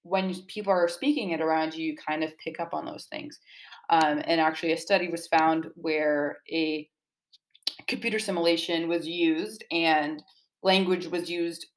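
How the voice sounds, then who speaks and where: A woman's voice: deep voice, fairly even intonation, normal speed. A young woman giving informal, explanatory interview answers in a casual, earnest way, often dropping "like" into sentences. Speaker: student poet being interviewed about own poem.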